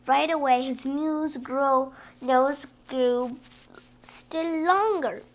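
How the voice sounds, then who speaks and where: A woman reading a children's story aloud with animated, emphatic stresses and brief asides. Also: sits high, very slow. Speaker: storybook reader.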